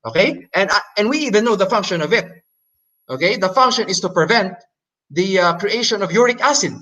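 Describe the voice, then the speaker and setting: Man, hesitant presenter, non-native English, higher voice, bright and forceful tone. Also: expressive, brisk. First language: Hokkien Chinese. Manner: hesitant, many fillers and restarts. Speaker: debate presenter. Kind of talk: halting explanatory presentation.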